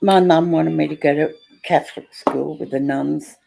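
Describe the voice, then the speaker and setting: A woman with a very low, gravelly voice and a dark tone, tossing in short, easygoing remarks on a video call. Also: fairly even intonation, moderate pace. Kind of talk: casual chat.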